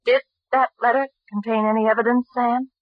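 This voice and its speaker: Actress as young female amateur sleuth, probing, lively high voice, old radio drama, dull vintage recording. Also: brisk, no room sound, lightly acted. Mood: probing, pressing. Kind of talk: 1940s radio acting.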